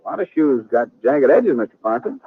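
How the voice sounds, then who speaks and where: An actor playing a talkative, friendly small-town blacksmith in an old radio western, with a husky voice and a rural Western American drawl. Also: male voice, medium pitch, very animated, brisk. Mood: recollecting. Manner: folksy, garrulous, drawling. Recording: dry, muffled old radio recording.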